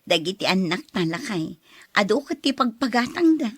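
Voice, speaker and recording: An actress with an Ilocano Filipino accent playing an affectionate, hopeful wife in a radio drama. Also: female voice, mid-range voice, big pitch swings, normal speed, slightly rough, no echo. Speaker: actor in an Ilocano audio drama. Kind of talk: acted radio drama dialogue.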